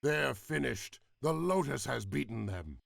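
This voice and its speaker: A battle-eager, distrustful warrior lieutenant from a video game. The male voice sits at medium pitch with a gravelly edge and a blunt, gruff delivery. It is recorded dry. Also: expressive, measured pace, dry recording, full character voice. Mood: eager, grim. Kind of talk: video game cutscene acting.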